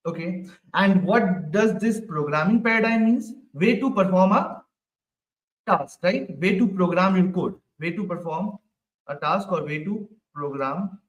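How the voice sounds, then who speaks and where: A high-pitched man runs a live online coding class. He keeps asking the class questions and tags "right?" onto his sentences. His voice sits a little away from the mic and rings in an echoey room. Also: a little slow, distant mic, muffled recording. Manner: repeats questions to the class, ends lines with "right?". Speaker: programming instructor.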